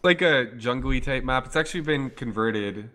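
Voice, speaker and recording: Hoarse, chatty male game caster. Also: medium pitch, lively intonation, normal speed, bright, forceful tone. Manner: talkative, playful, hypes the chat. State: hoarse, losing his voice. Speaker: esports caster. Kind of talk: casual live game commentary.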